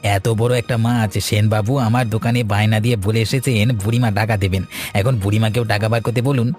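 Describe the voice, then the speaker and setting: Male actor as pushy cartoon fish seller, lowish pitch, blunt and sarcastic, music underneath. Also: fairly even intonation, fairly quick, darkish tone, close to an everyday voice. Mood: indignant, menacing. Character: aggressive, threatening village fishmonger demanding payment. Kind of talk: cartoon story dialogue.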